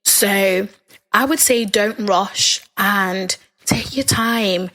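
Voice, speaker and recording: A young woman, hosting her podcast in a casual, animated solo chat and a little hoarse from talking. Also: extremely slow, poor audio. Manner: animated, chatty, acts out quoted lines with big pitch jumps. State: slightly hoarse, losing her voice. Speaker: podcast host.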